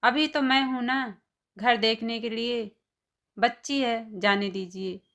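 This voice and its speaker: Woman playing a slow-speaking, gentle mother-in-law. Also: on the high side, steady tone. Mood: indulgent. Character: kind, indulgent mother-in-law in a family story. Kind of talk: animated moral story dialogue.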